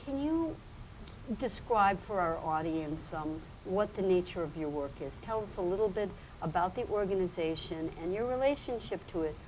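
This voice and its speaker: A woman's voice: on the low side, animated, moderate pace, a little mellow, slight background noise, rough recording. A woman interviewing a guest, asking questions in an encouraging way with frequent affirming murmurs.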